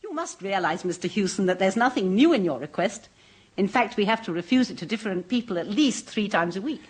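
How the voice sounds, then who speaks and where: A prim museum director. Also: female voice, medium pitch, lively intonation, fairly quick, breathy edge. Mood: formal firm. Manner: formal, polite. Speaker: waxworks director. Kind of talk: 1970s radio drama acting.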